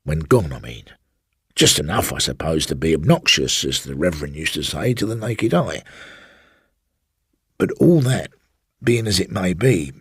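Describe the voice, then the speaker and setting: A man's voice: low-pitched, a bit bright, breathy edge, dry recording, studio quality, full character voice. A man voicing a garrulous old railway porter who rambles confidingly and keeps addressing his listener as sir. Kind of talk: audiobook storytelling.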